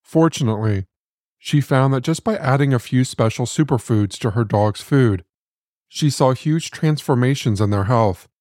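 A man's voice: medium pitch, normal speed, darkish tone, dry recording. A man reading scripted podcast narration as the host, close to the microphone. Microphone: close studio microphone. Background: music.

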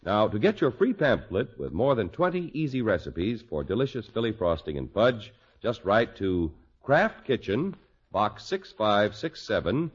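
A man announcing on 1950s radio, reading a scripted commercial with emphatic, deliberate salesman phrasing. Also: on the low side, sing-song, slightly rough. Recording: muffled old radio broadcast.

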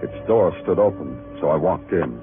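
An actor playing a wry, hard-boiled police detective with terse, sardonic delivery in a 1950s radio crime drama. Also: male voice, low-pitched, expressive, normal speed, over loud music. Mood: brooding narration. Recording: dull lo-fi old radio broadcast.

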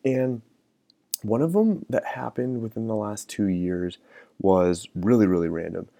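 Low-pitched man, gravelly, mellow tone, reflective podcast monologue, dry close sound. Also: a little slow, dry recording. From American Midwest. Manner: thoughtful, musing, frequent fillers and restarts. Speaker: podcast host.

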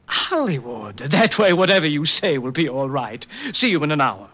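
Snippy, theatrical hat designer, fairly high voice, dull old radio sound. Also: male voice, sing-song, moderate pace, music in the background. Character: fussy, catty male hat designer. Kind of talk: 1950s radio acting.

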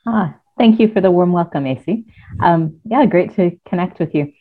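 A woman from the United States with a mixed American and British accent, speaking thoughtfully as a guest coach, often pausing with ums. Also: on the low side. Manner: thoughtful, hesitant with frequent ums. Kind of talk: casual interview chat. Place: at home near Cardiff. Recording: tinny phone-like remote call.

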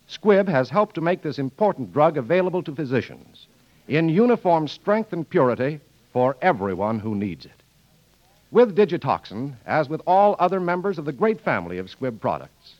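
A man announcing from a script in a polished, formal 1940s radio style. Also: on the high side. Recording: muffled 1940s radio broadcast, faint music.

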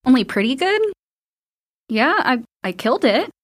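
A woman's voice: high voice, big pitch swings, normal speed. A woman playing an eager, confident teenage girl who talks back with sass and defiance. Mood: teasing. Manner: sassy, defiant. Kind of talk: audio drama acting.

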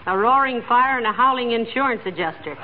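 An actress playing a watchful, long-suffering wife with dry, scolding sarcasm in a 1940s radio comedy. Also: female voice, a little high, lively intonation, brisk, lightly acted. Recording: noisy old narrow-band radio broadcast.

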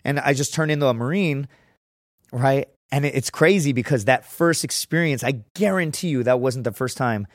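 A man spinning profane stories as a podcast guest, his voice sitting at an ordinary middle pitch. He keeps it loose and conversational, and the close, dry studio mic leaves no room sound at all. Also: normal speed, no room sound. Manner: profane, storytelling, acts out quotes in a raised voice. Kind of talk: casual chat.

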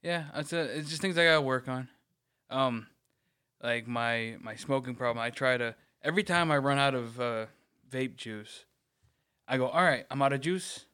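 A man's voice: medium pitch, normal speed, bright, forceful tone, clear, resonant tone. Hesitant self-deprecating man. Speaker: podcast co-host. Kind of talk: casual podcast chat.

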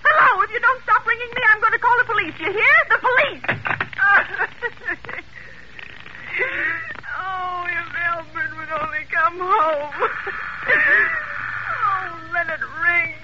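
A woman's voice: very high voice, slow pace, pauses often. An actress playing a nervous, demanding bedridden invalid woman in a 1940s radio thriller, indignant and melodramatic. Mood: frantic, then desperate. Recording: noisy, muffled old radio broadcast recording.

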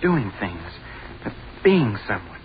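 An actor in a 1930s radio drama playing an earnest, awkward, brainy college student with a polite, hesitant manner. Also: male voice, fairly low pitch, sing-song, slow. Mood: earnest, intense. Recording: muffled, faintly noisy 1930s radio recording.

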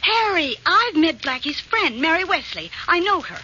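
A woman's voice: very high voice, big pitch swings, moderate pace, sharp tone, heavily acted. An actress playing an anxious, devoted, rather flustered woman in a 1940s radio crime drama. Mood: anxious, pleading. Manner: flustered, solicitous. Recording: muffled old radio recording, clear noise.

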